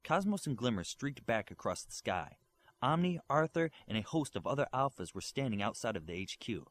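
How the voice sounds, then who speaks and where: Lively male audiobook reader. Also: medium pitch, fairly quick. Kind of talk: audiobook narration.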